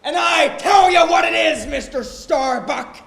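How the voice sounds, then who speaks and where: A man's voice: very high-pitched, a little slow, gravelly, fairly bright tone, raised voice, heavily acted. A man playing a jesting second mate who covers fear with boisterous, singsong bravado on a theatre stage. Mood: defiant. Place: stage of a college auditorium. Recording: very echoey auditorium with music.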